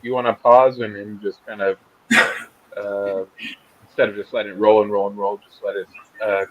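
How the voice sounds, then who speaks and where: A man co-hosting a reaction video, his voice on the low side. He rushes and trails off mid-sentence. The sound is dull and lo-fi. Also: level tone. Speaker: vlog co-host. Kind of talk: casual reaction commentary.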